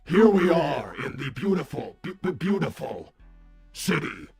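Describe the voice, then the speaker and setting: A man voicing a soft-spoken, deliberate robot hedgehog with formal, stuttering, hesitant speech in a livestream roleplay. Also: a little high, very animated, gravelly, slightly rough, faint music behind, full character voice. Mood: solemn, halting. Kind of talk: improvised character roleplay on a livestream.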